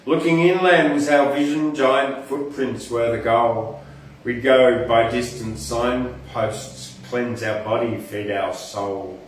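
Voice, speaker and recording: In a very echoey space, a little far from the microphone, an Australian man hosts an informal poetry reading. He speaks at a slow pace, moving between recited verse and rambling, chatty asides. A faint noise sits behind him. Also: mid-range voice, steady tone. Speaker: poetry podcast host and reader. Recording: echoey, distant mic, faint background noise.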